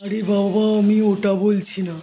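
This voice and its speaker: A man's voice: sits high, little pitch movement, moderate pace, mellow, a little hiss or hum, natural voice. A man playing a suspicious, inquisitive villager in a cartoon, talking earnestly and insistently. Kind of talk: cartoon moral-story dialogue. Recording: echoey room sound with faint music.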